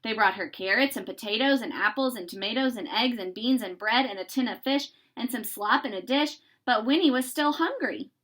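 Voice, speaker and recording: A woman reading a children's story aloud in an expressive, deliberate narrating style. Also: a little high. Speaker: storybook reader.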